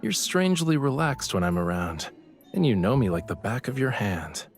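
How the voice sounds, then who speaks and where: A man plays a young gentleman painter in an audio drama. He speaks in a low voice with polite, teasing cool, over prominent background music. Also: big pitch swings, moderate pace. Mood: teasing, probing. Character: young male painter, courteous, teasing, coolly puzzled gentleman.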